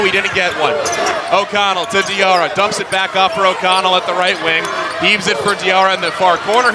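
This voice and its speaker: A young man doing radio play-by-play of a basketball game, quick and building in excitement on big plays. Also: sits high. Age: young adult. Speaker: play-by-play announcer. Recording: loud arena noise behind.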